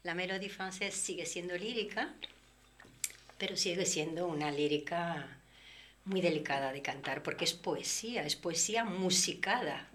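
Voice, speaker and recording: A woman singer, guest in an interview, explaining her art at length in a relaxed, reflective way. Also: lowish voice, moderate pace. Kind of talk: relaxed conversation.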